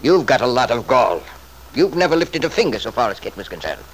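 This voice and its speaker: A man's voice: medium pitch, very animated, fairly quick, breathy edge. An actor playing a stubborn, selfish middle-aged father in an old-time radio soap, blustering and self-justifying in an emphatic way. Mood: indignant, defensive. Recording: noisy vintage radio drama recording.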